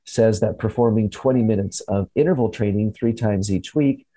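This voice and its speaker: Upbeat male host with a dark tone. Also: lowish voice, normal speed, no echo. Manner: upbeat, punny, laughs often. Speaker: radio show host. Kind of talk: casual scripted health segment. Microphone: remote call from home.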